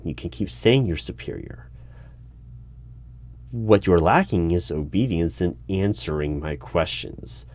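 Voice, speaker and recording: A man's voice: sits low, a little slow, a little mellow. A man playing an exasperated, bureaucratic human resources manager in a one-sided interview roleplay, hesitating and stammering when flustered. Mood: exasperated, officious. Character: exasperated, officious human resources hiring manager at secretive agency. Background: computer keyboard typing.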